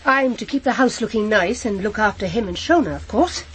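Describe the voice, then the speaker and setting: An actress playing a shocked, hesitant, proper middle-aged housewife who has been widowed, in a naturalistic radio drama. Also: female voice, medium pitch, lively intonation, slight background noise, natural voice, low-quality recording. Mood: dazed, rambling. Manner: halting, flustered, repeats herself. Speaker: actor in a crime drama.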